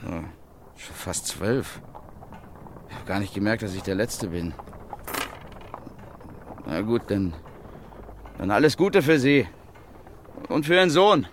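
A man's voice: on the low side, expressive, takes it slowly, long pauses, a little hiss or hum. A man playing a bitter, grumbling war-veteran newspaper reporter in a radio drama. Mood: weary. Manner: muttering, then indignant.

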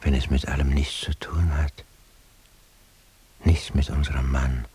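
A sleepless, anguished figure murmuring his thoughts in a radio drama. The voice is extremely low and husky, mostly breath. He moves morosely and evenly from one small observation to the next, with little melody. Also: little pitch movement, measured pace, dark tone, heavily acted. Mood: intense, anguished. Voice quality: breathy, half-whispered, husky. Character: weary, anguished man lying sleepless at night. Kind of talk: radio drama interior monologue.

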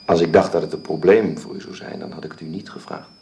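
A man's voice: extremely low pitch, steady tone, fast, vocal fry, mellow. An actor playing a courteous middle-aged father and employer in a Dutch radio drama, speaking formally, hesitantly and persuasively. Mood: polite, persuasive. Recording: roomy, hissy old radio recording.

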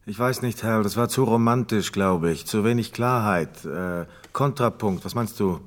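A man's voice: lowish voice, moderate pace, lightly acted. An actor playing a fussy male pianist at a recording session, speaking hesitantly, politely and self-critically in radio play dialogue. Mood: doubtful.

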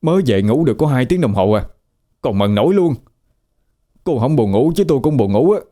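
A man's voice: mid-range voice, very animated, fairly quick, dark tone, natural voice. Man playing a chatty, nosy Southern Vietnamese ghost. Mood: grumbling. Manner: talkative, fussy, flustered.

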